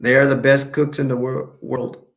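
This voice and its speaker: A young man from Texas chatting casually on a live stream, answering viewers in a rambling, halting way with many restarts. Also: medium pitch, flat delivery, gravelly. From Texas, United States.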